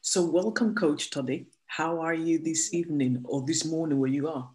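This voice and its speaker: An interview host who reads a deliberate introduction and then asks halting, restarted questions. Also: mid-range voice, a little mellow. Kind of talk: interview hosting.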